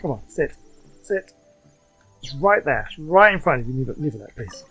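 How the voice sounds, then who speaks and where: A man's voice: mid-range voice, big pitch swings, moderate pace, sharp tone, breathy edge. A man giving casual, muttered running commentary as he plays a video game, with game music behind him. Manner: mutters running reactions to gameplay. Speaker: gaming video creator. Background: game music and sounds.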